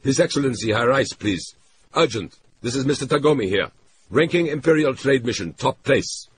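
A man voicing a stern Japanese male trade official who speaks in clipped, formal phrases and shouts when angered. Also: fairly low pitch, lively intonation, moderate pace, background noise, voice pushed up, lightly acted. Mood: firm, urgent.

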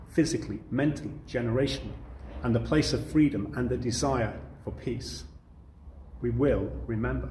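British guy in his 50s preaching, deliberate phrasing, roomy echo and some noise behind. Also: mid-range voice, a little mellow. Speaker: Salvation Army officer preaching. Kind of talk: sermon to camera for online church service. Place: wood-panelled room indoors.

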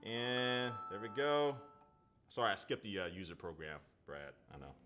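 Chatty man with a bright voice. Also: fairly low pitch, very animated, normal speed. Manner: chatty, loose, lots of "like" and "man", reads viewer comments aloud. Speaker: tech reviewer. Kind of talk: casual live-stream unboxing chat. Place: indoor home studio at a desk.

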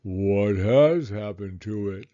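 A man voicing a mournful, resigned old donkey from a storybook, in a doleful, drawn-out manner. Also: on the low side, mellow, dull audio, pitched below the performer's own voice. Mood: gloomy, resigned.

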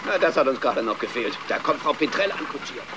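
A man playing a stingy farmer and father in a German-dubbed children's film, gruff and clipped. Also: medium pitch, expressive, fast, noisy background, close to an everyday voice. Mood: dry.

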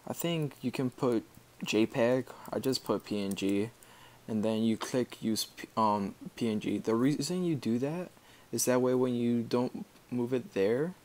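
A man giving a casual screen-recorded software tutorial, explaining step by step in an informal, halting way. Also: medium pitch. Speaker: tutorial maker.

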